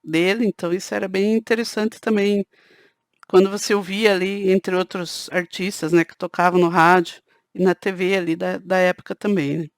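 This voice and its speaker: A woman's voice: on the low side, fairly even intonation, moderate pace, no echo, studio quality. A woman with a southern Brazilian countryside accent, hosting her channel and talking casually to camera in a chatty way, often adding "né" to her sentences. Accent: Brazilian Portuguese, southern countryside (caipira). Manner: conversational, peppers sentences with "né". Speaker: channel host.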